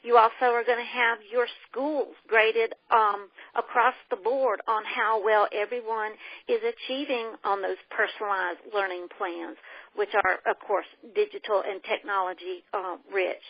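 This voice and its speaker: A woman's voice: mid-range voice, fairly even intonation, measured pace, no echo. A woman from the United States speaking as a guest on a conference call, explaining her views in an emphatic, opinionated way with folksy turns of phrase. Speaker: guest speaker, education activist. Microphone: telephone. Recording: dull telephone conference-call line.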